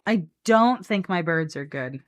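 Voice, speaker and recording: A woman chatting casually on a live game stream, playful and animated as she thinks out loud. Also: fairly low pitch, a little slow. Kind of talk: casual live-stream chat while building a card deck.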